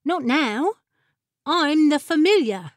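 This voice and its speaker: A cheeky little fire familiar, played by a woman reader, speaks in a raised, pert voice with storybook formality. She is headstrong and inquisitive, and her words come unhurried in a dry, close recording. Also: sits high, expressive, no echo, pitched above the performer's own voice. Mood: matter-of-fact.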